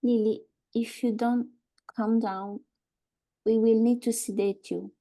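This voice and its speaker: A woman playing an anxious, apologetic hospital social worker in a dramatic table read, earnest, deliberate and pleading yet firm. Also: on the high side, flat delivery, warm tone. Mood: urgent, pleading. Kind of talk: live screenplay table read, dramatic acting.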